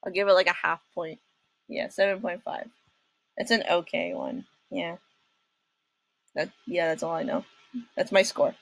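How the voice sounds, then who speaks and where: A woman hosting a reaction video with casual commentary, speaking haltingly and often repeating her phrases. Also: lowish voice, steady tone, vocal fry, no echo, low-quality recording. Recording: music behind the voice.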